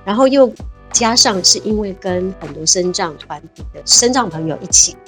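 A woman's voice: mid-range voice, animated, moderate pace, over music. A woman, talking reflectively as an interview guest and laughing easily. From Taiwan. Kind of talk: casual interview chat.

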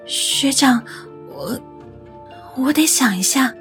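A woman's voice: sits high, expressive, a bit bright, soft background music, exaggerated voice. An actress playing a flustered, sweet young woman with a Taiwanese accent in a romantic radio drama, hesitant and stammering. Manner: hesitant, stammering, breathy pauses.

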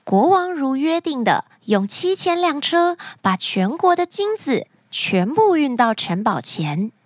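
A woman narrating children's stories as a podcast host, expressive and speaking directly to young listeners. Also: sits high, a little slow, dry recording, studio quality. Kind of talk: animated storytelling narration for children.

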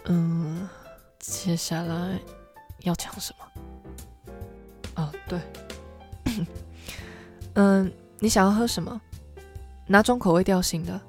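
A woman's voice: steady tone, slow pace, pauses often, darkish tone. A woman voicing a cool, aloof and commanding female bar owner who talks in curt, terse lines. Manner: curt, terse, low-key. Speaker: bartender. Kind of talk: amateur audio drama acting. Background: background music.

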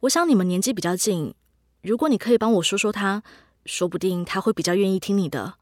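An actress playing a gentle, considerate middle-aged mother in a scripted audio drama. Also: mid-range voice, quick pace, dry recording, studio quality, natural voice. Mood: polite, hopeful. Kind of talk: scripted radio drama dialogue.

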